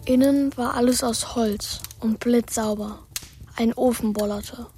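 A woman's voice: a little high, fairly even intonation, unhurried, dark tone, faint music behind, lightly acted. A woman playing Bela, an anxious, thoughtful boy who tells his story in an earnest, hesitant way in a radio play. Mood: uneasy narrating. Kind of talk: radio play acting and first-person narration.

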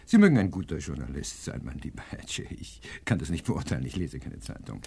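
An actor playing a pompous, exacting scientist-detective in a German radio crime drama, pedantic and condescending. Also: male voice, rumbling low, sing-song, fairly quick, creaky, warm tone, husky, exaggerated voice.

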